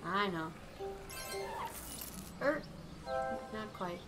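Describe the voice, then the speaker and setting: A woman's voice: medium pitch, lively intonation, very slow. A woman chatting casually and telling stories while playing a board video game with her partner. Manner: chatty, giggly, storytelling. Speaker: co-player. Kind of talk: casual gaming chat. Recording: game music underneath.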